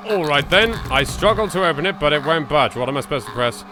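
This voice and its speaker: A man's voice: a little high, animated, quick pace, background noise. A man with an English accent hosting a let's play, reading aloud dramatically and commenting in a theatrical, exasperated, sweary way. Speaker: gaming video host.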